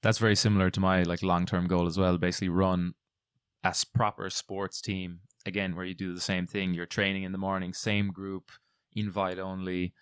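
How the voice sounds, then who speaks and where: A man's voice: deep voice, level tone, moderate pace, clean tone, no echo, clean studio sound. A man speaking conversationally as an interviewer who chats casually with his guest. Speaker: interviewer and jiu-jitsu competitor.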